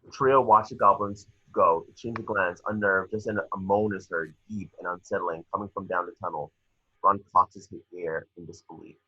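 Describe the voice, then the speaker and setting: Sharp, husky low-voiced man narrating. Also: fairly even intonation, normal speed, no echo, dull audio. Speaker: narrator reading stage directions. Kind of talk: scripted narration at a screenplay table read.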